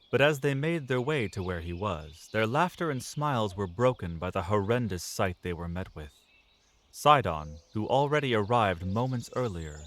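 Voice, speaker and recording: A man narrating a fantasy audio drama in a measured, dramatic storytelling manner. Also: fairly low pitch.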